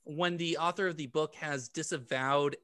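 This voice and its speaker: A man's voice: on the high side, steady tone, moderate pace, pushed and bright, no room sound, clean studio sound. A young adult man co-hosting a podcast in casual banter. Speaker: podcast co-host. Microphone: desk microphone with headphones, video call.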